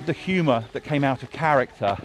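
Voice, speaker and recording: A man's voice: mid-range voice, expressive, fairly quick, music in the background. A man, a television drama writer, talking in a casual, thoughtful way with many fillers and restarts. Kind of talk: casual conversation. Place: outdoors by a road. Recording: faint street noise with passing traffic.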